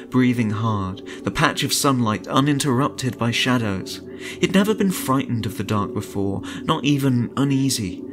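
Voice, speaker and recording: A man narrating a horror story in a dramatic, suspenseful and deliberate audiobook style. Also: mid-range voice. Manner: suspenseful, deliberate, theatrical delivery. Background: music.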